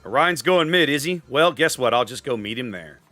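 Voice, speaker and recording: Bright-voiced man live-streaming a game. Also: mid-range voice, lively intonation, a little fast, no room sound. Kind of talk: casual live gameplay commentary and chat with viewers. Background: faint game music.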